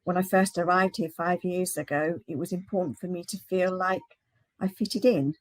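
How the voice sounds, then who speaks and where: A woman speaking as a guest in a panel discussion, hesitant and halting, with many restarts. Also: lowish voice, steady tone, dry recording, low-quality recording. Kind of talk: casual discussion.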